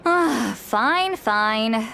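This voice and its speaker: A woman playing a shrewd, world-weary self-styled granny healer in a video game, measured and knowing. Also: fairly high pitch, lively intonation, vocal fry, sharp tone, a little hiss or hum, heavily acted. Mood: resigned. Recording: faint music under the voice.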